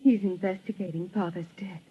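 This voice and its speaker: An actress playing a gentle, distressed, grieving young woman in a 1940s radio melodrama. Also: medium pitch, fairly even intonation, fairly quick, slightly rough. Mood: distressed, pleading. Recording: muffled old radio recording.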